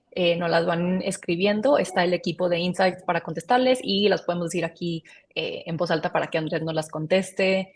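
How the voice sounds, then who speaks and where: A woman hosting a live webinar interview over a video call, polite and steady as she guides the talk. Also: lowish voice.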